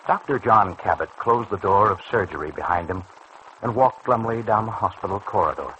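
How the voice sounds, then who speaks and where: Male narrator, lowish bright voice, formal, dramatic, vintage radio soap. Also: a little fast, muffled recording. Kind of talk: mid-century radio serial scripted narration. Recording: faintly noisy old radio recording.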